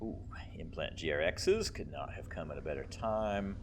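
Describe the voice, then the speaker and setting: A man with a deep voice hosts a casual gaming video. His pitch moves around in a lively way as he comments, with faint game noise behind him. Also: moderate pace. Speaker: gamer, let's play host. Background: video game sounds.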